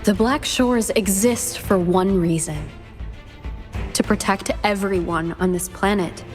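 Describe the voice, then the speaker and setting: A woman playing a principled, humane leader in a video game, speaking in earnest, measured speeches. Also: mid-range voice, faint music behind, lightly acted. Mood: earnest, resolute. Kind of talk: scripted game voice acting.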